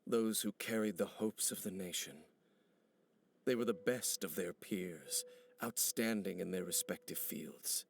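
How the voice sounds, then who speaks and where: Deep, brooding ancient warrior. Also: male voice, creaky, a little hiss or hum. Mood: mournful. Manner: grave, formal, measured speech. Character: solemn, brooding ancient male warrior, bitter over old betrayal. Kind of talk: video game character dialogue. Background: background music.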